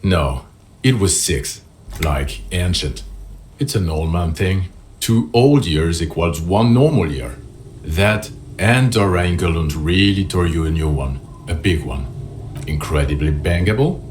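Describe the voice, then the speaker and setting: Low-voiced, blunt, jaded cop. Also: male voice, expressive, a little slow, darkish tone, slight background noise. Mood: crude, dry. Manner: blunt, dry, sardonic. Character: jaded, blunt, foul-mouthed male police officer. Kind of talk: video game dialogue. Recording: music playing behind the voice.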